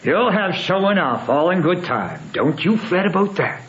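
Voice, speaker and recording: An actor playing a stern, wary Southern patriarch of about sixty in an old radio drama, with commanding, folksy country speech. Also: male voice, high-pitched, very animated, moderate pace, a bit bright. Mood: relieved, kindly. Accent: American Southern. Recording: dull, hissy old radio broadcast.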